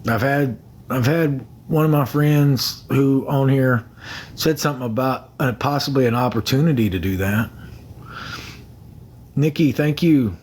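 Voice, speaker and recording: A man's voice: mid-range voice, a little slow, a little mellow, slightly rough. A young man chatting on a phone livestream, rambling, repeating himself and thanking viewers by name. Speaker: livestream host. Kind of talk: casual livestream chat with viewers.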